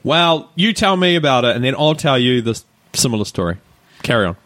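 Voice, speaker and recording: NZ male co-host, mid pitch, dry booth sound, playful ribbing. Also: sing-song, brisk, fairly bright tone, no room sound. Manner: joking and teasing, laughs often. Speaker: podcast co-host. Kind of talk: casual chat.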